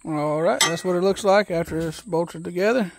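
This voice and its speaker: A man casually walking through an assembly job outdoors, reading steps aloud and thinking out loud in a relaxed, folksy way, recorded on a phone camera held close. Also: high voice, moderate pace, vocal fry, very noisy. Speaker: DIY video host. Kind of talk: casual step-by-step assembly walkthrough. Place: outdoors on a wooden deck.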